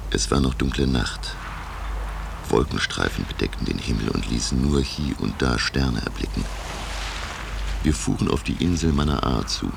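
Radio drama: erudite, nervy naturalist professor, gravelly, swinging intonation. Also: male voice, extremely low pitch, expressive, moderate pace, exaggerated voice. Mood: narrating. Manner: lecturing, hesitant when nervous. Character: learned, uneasy male marine naturalist professor. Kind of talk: radio drama dialogue and first-person narration. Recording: roomy sound with heavy background noise.

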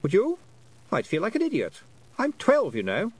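A man's voice: high-pitched, huge pitch swings, normal speed, breathy edge, pitched above the performer's own voice, heavily acted. Man putting on a nervous young boy. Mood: anxious. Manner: rambling, hesitant, breathless. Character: nervous boy of twelve, anxious and chatty.

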